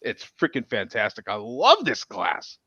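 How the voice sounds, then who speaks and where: A man's voice: fairly low pitch, wildly swooping pitch, a little slow, pushed and bright, husky, no echo, muffled recording. A man hosting a casual chat show in a folksy manner, often repeating himself as he talks. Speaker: show host.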